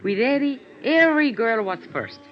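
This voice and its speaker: Lilting, coy Latin bar hostess. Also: female voice, a little high, moderate pace. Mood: teasing. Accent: Latin American Spanish. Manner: broken English, lilting and expressive. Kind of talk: 1950s radio drama acting. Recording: dull vintage radio recording with music.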